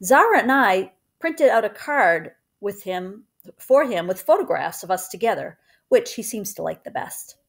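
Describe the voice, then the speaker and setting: Expressive woman reading aloud to children. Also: mid-range voice, normal speed. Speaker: teacher reading a book aloud. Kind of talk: children's book read-aloud. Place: small room at home.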